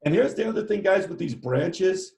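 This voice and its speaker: A man's voice: sits high, lively intonation, normal speed, gravelly, a little mellow. A man teaching a live online drawing lesson, giving playful step-by-step instructions in an upbeat way. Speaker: art teacher.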